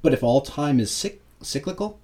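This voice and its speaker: A man co-hosting a podcast, chatting casually and telling jokey, animated stories. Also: mid-range voice, normal speed. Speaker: podcast co-host. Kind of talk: casual chat.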